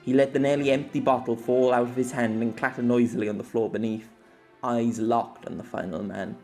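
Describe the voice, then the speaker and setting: A man narrating an audiobook in a steady, descriptive way. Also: medium pitch, a little fast, soft background music.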